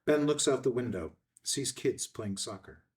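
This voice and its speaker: A man's voice: mid-range voice, animated, moderate pace, distant mic. A man narrating scene headings and stage directions from a screenplay in a scripted read.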